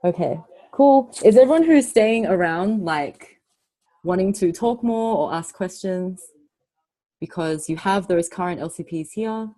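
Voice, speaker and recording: A woman hosting an online webinar over a computer mic, talking quickly and enthusiastically in a soothing voice. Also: mid-range voice, expressive, warm tone, low-quality recording. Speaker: webinar host. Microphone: computer mic on video call.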